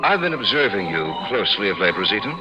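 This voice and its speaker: Proud, brooding, vengeful nobleman, intensely expressive. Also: lowish voice, fast. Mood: courtly. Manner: formal, clipped, theatrical. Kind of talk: 1950s radio drama acting and narration. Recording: muffled 1950s radio recording, loud music.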